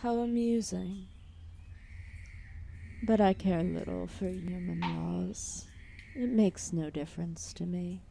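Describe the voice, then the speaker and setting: A giant serpent deity, played by a woman, speaks with drowsy, regal amusement in an ASMR roleplay. The voice is dark and mellow with a creaky edge. The delivery is formal, condescending and drawn out, over faint background noise. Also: lowish voice. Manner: slow, languid, formal, condescending speech. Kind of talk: ASMR roleplay audio drama.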